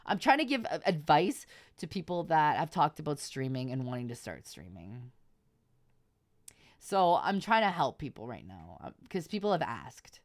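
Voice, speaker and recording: Low voiced lady streamer just chatting, informal, repeats herself to make the point, close dry mic. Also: big pitch swings, moderate pace, no echo. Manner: informal, repeats points for emphasis, occasional swearing. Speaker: VTuber streamer giving advice to viewers. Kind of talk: casual livestream chat.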